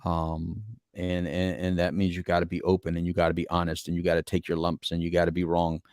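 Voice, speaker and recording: Middle-aged American male podcast co-host, deep register, earnest, rambling and repetitive, close dry sound. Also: completely flat delivery, a little fast, darkish tone, clean tone, no echo. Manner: earnest, rambling, repeats phrases for emphasis. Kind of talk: casual reflective relationship talk.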